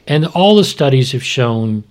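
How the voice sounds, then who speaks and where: An older man with a husky voice, co-hosting a podcast as a doctor and explaining medical matters conversationally in plain words. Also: medium pitch, expressive, unhurried. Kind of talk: casual explanatory podcast talk.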